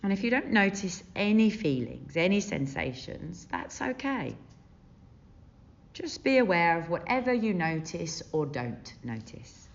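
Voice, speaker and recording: A woman leading a guided meditation as a teacher, gentle and soothing, with unhurried phrases and long pauses. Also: on the low side, sing-song, gravelly. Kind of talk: guided body scan meditation. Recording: dull, muffled lo-fi sound.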